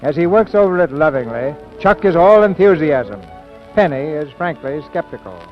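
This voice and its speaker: A male radio host narrates in a polished 1940s theatrical manner. His voice is on the high side, with some music around it and the dull sound of an old broadcast. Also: very animated, moderate pace. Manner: polished theatrical presenter delivery.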